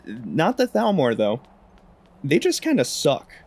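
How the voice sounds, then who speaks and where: A man's voice: fairly high pitch, huge pitch swings, a little slow, a little mellow, slight background noise. A man giving casual, humorous commentary on a video game in a wry, sarcastic way. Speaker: gaming video host.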